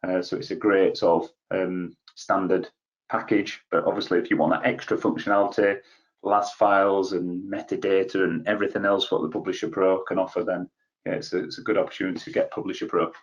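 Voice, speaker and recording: Deep-voiced man explaining software licences. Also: fairly quick. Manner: steady, explanatory, repeats words. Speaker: software product expert. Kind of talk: explaining on a webinar panel. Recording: dull lo-fi call audio.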